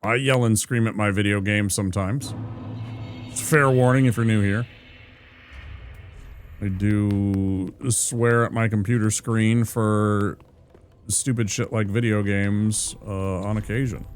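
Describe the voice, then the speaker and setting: A man's voice: lowish voice, slow pace, soft background music. A man streaming a video game live, chatting casually with his viewers and musing aloud as he plays. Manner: relaxed, chatty, muses aloud to chat. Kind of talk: casual live-stream chat while playing.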